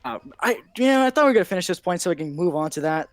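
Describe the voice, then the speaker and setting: A man co-hosts a casual online podcast over a voice call. His voice is high and swings widely in pitch. He argues insistently, walking through chapters and panels to back up his point. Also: sing-song, racing, dry recording. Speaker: podcast co-host.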